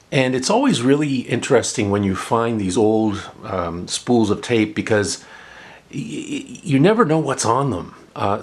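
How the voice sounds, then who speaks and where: A man's voice: on the low side, slightly rough. A man hosting a gadget review, talking casually and unscripted, explaining things and often backtracking or repeating himself. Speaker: host of a gadget review channel. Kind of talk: casual, unscripted product demonstration.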